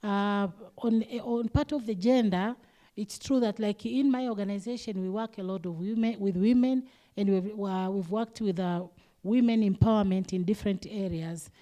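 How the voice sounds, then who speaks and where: A woman from Tanzania talks on a conference panel. She speaks hesitantly and restarts her phrases often. Her voice is mellow. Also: medium pitch, normal speed. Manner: hesitant, repeats words. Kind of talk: panel discussion remarks.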